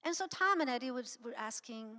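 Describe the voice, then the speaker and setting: A middle-aged woman from Croatia with a Croatian accent, giving an informal inspirational talk with halting, anecdotal storytelling. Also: a little high, animated, bright, forceful tone. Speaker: guest speaker and singer. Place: church sanctuary, speaking to an audience. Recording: long hall reverb.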